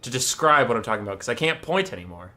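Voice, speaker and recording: A man's voice: mid-range voice, animated, racing, sharp tone. A man hosting a game tournament stream, chatting casually in a rambling, wry, self-mocking way and swearing offhand. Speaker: stream host and tournament caster. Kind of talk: casual livestream chat before casting. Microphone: desk mic, camera off.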